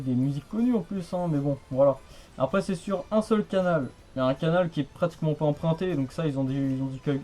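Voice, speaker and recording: A man's voice: a little high, dark tone. A man chatting casually on the radio in a rambling, hesitant, repetitive way. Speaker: amateur radio hobbyist.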